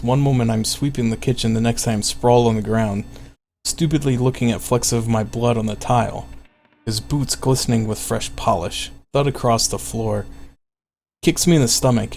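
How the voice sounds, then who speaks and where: A man's voice: mid-range voice, a little mellow, lightly acted. A man voicing a haunted, bitter male trainee mage in a fantasy story. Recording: clearly noisy background.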